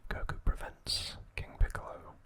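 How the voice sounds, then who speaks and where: A man hosting ASMR, speaking softly and haltingly in an unhurried whisper, close to the microphone. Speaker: ASMR channel host. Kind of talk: whispered ASMR, reading episode summaries and chatting to viewers.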